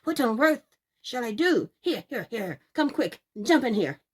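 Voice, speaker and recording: The ogre's great tall wife, acted by a woman, bossy and bustling. Her pitch is raised and swings up and down as she scolds briskly in storybook fashion. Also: big pitch swings, darkish tone, slightly rough, exaggerated voice. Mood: flustered.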